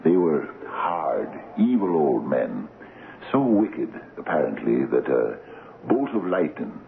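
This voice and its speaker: An actor playing a chatty Scottish village doctor in a radio drama, with a hesitant, folksy storytelling manner. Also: male voice, rumbling low, big pitch swings, slow pace, creaky, heavily acted. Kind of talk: old radio drama acting. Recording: muffled, hissy old radio broadcast.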